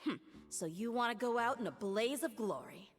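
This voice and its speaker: Brief: woman doing a taunting young mercenary, cool and mocking, slightly high, measured delivery, long reverb over game score. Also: expressive, faint music behind, lightly acted. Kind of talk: game cutscene voice acting.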